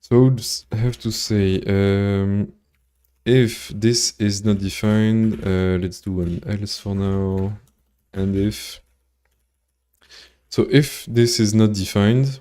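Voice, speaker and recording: Low, slow man coding. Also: dark tone. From Belgium. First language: French. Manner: thinks aloud, halting with many fillers and restarts. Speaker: programmer streaming his coding. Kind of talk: live coding explanation, answering chat.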